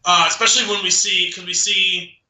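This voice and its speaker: A man's voice: sits high, fairly even intonation, brisk, pushed and bright. A man chatting casually as a podcast co-host about film, in a rambling, tentative way. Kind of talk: casual film discussion chat. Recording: roomy, echoing room sound.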